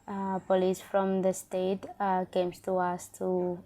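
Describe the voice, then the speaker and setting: Woman, halting, monotone delivery. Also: fairly low pitch. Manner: halting, searching for words. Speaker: interviewee.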